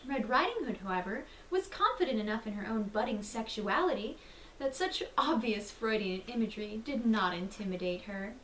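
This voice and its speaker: Animated woman storyteller, higher-pitched voice. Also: normal speed. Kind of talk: theatrical bedtime story reading. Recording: roomy, distant mic, faint noise.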